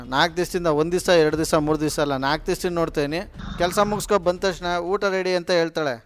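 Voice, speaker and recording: A man's voice: fairly high pitch, fairly even intonation, quick pace, a little hiss or hum. A man hosting comic prank calls in a teasing, cheeky way. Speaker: prank call radio jockey host. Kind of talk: comic prank call and channel presenting.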